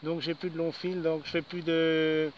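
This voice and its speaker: Guy chatting on ham radio outside, quite high voice, dull sound with slight noise. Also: flat delivery, normal speed. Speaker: amateur radio operator. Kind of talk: casual radio contact chat. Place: outdoors in a park. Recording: band-limited outdoor radio recording, faint noise.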